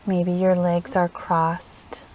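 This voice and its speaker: A woman leading a guided meditation in a gentle, soothing manner, unhurried and leaving long pauses between phrases. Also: fairly low pitch, monotone, a little hiss or hum. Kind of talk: guided meditation, slow instructional narration.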